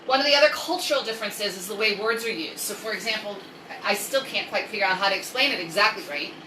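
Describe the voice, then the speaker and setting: A woman's voice: medium pitch, quick pace, pushed and bright. An American woman hosting a casual, chatty livestream story time, rambling with jokey asides and reading aloud. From United States. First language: English. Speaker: host of a live story-time stream. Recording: roomy echo, distant mic, faint noise.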